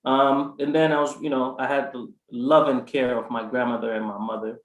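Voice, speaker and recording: Man, from Ghana, 40s, halting, many fillers, mid pitch, roomy echo. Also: level tone. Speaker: art collector guest. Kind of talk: conversational interview answer.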